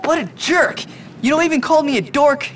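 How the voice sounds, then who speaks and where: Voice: high voice, a little fast, slight background noise, natural voice. An actor voicing a meek, easily hurt nerdy schoolboy in a video game, hesitant and peevish. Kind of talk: video game cutscene acting.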